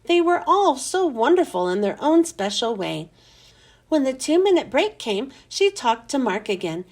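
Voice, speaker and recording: A woman's voice: high voice, sing-song, moderate pace, darkish tone. A woman hosting a children's read-aloud, narrating a storybook expressively.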